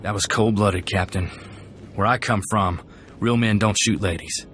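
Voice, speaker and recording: An actor playing an earnest, vengeful companion fighter in a video game, plainspoken and firm. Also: male voice, lowish voice, fairly even intonation, normal speed, over music, natural voice. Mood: disapproving, sober.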